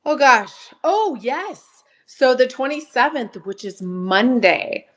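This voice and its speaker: A slightly hoarse woman hosting a live sale stream, chatting casually, greeting viewers by name and laughing often. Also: sits high, very animated, slow, fairly bright tone.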